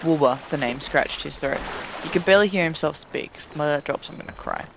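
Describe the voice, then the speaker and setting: A man with a somewhat high voice reads fiction dramatically over a noisy voice chat. His delivery slips easily between storytelling and loose, sweary banter. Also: brisk, gravelly. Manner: sweary, breaks off into asides. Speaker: reader of a fan fiction story. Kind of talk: dramatic reading broken by casual banter with friends. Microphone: voice chat microphone.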